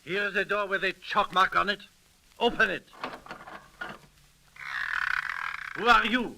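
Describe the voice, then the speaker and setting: An actor playing a brusque, suspicious French revolutionary soldier with a gravelly voice, in 1930s radio style. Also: male voice, sits high, moderate pace, background noise. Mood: brusque, commanding.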